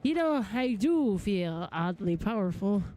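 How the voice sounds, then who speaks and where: A woman's voice: medium pitch, very animated, unhurried, mellow, faint music behind. A woman voicing an eager, earnest male fighter in a fantasy game, with dramatic delivery. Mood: surprised, empowered. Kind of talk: live read-aloud of game dialogue.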